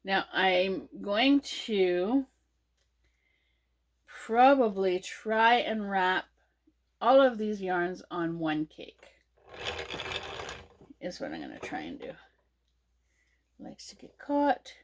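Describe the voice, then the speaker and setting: A woman hosting a casual crochet tutorial. She sounds sniffly from a cold and chats slowly, with lots of "um" and "uh" between steps. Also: mid-range voice, very animated, noisy background. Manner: slow, chatty, with many fillers and pauses. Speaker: crochet channel host.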